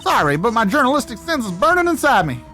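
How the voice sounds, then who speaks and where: A woman voicing an excitable, brash occult photographer from a video game in a dramatic, exclamatory way. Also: a little high, fast talker, soft background music, pitched above the performer's own voice. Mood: excited, eager.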